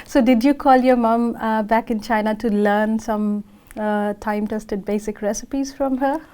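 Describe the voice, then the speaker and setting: A woman's voice: a little high, fairly even intonation, measured pace, warm tone, clear, resonant tone. A woman hosting a TV interview show, asking a guest questions in a friendly, encouraging way. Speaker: TV show host.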